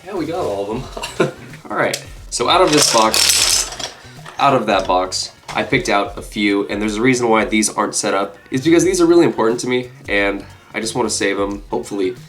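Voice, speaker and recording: A man in his twenties talks casually to camera in a somewhat low voice, stuffed up from a cold. He sounds like a video creator showing off a collection, with guitar music playing behind him. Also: animated, normal speed, fairly bright tone. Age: 20s. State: congested with a cold. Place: small furnished room indoors.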